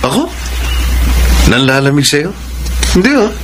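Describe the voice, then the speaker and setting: A man's voice: fairly high pitch, very animated, unhurried, background noise. An actor with a Filipino accent playing a proud, wounded young lover who tells his story in a radio drama with earnest, dramatic delivery. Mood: guarded. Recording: loud music under the voice. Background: loud dramatic background music.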